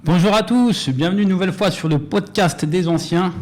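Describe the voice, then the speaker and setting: A man's voice: sits high, normal speed. A man hosting a podcast, interviewing conversationally and encouraging his guest with short acknowledgements. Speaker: podcast host.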